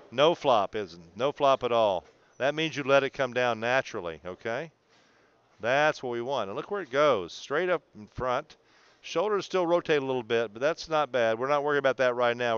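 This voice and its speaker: A man's voice: mid-range voice, a bit bright, smooth, no room sound, dull audio. A man coaching a student through video analysis in an encouraging, explanatory way, often checking in. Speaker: bowling coach.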